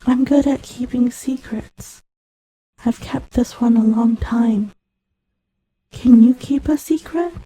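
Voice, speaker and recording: A woman playing a very soft, lonely machine child. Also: fairly high pitch, husky, poor audio. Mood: lonely, pleading. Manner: slow, halting, pleading. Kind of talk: scripted audio drama monologue.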